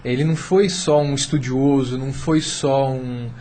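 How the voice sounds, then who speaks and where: A man's voice: mid-range voice, fairly even intonation, normal speed, mellow. A Brazilian man commenting as a radio panel guest, explaining carefully while searching for words. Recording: faint background noise.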